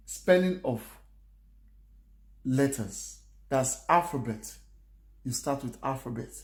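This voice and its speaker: A man's voice: mid-range voice, dark tone. Earnest male language teacher, echoey room. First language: Yoruba. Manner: earnest, repetitive, encouraging. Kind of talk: spoken language lesson.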